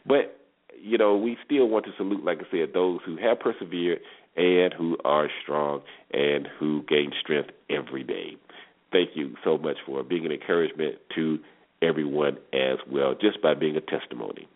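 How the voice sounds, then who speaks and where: A man's voice: rumbling low, normal speed. An American man hosting a sports talk show in a conversational, emphatic way, repeating phrases for emphasis. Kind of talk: conversational sports radio commentary. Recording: thin phone-like internet radio line.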